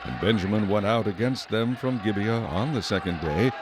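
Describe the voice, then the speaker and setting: A man giving solemn, measured scripted narration for a dramatized Bible recording. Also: fairly low pitch, background noise.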